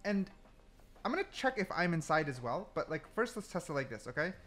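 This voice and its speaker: A man doing excited gameplay commentary, thinking aloud and often repeating himself. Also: on the high side, brisk. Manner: repetitive, exclamatory, thinking aloud. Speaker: gaming content creator testing game mechanics.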